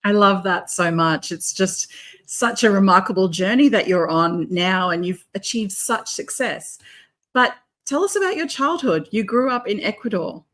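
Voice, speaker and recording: A woman's voice: medium pitch, lively intonation, normal speed, fairly bright tone. A woman interviewer asking encouraging questions about a guest's life.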